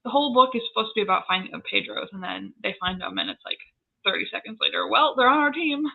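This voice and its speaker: Thin phoney sound, woman with lots of vocal fry chatting casually about books. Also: mid-range voice, big pitch swings, quick pace. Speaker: co-host of a book discussion. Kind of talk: casual fan chat about fantasy novels. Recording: thin phone-like call audio.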